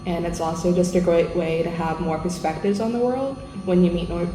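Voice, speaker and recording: A woman's voice: fairly low pitch, fairly quick, warm tone. A young woman from the United States, a language assistant, answering interview questions in a friendly, earnest way. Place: school room. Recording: echoey room with background noise.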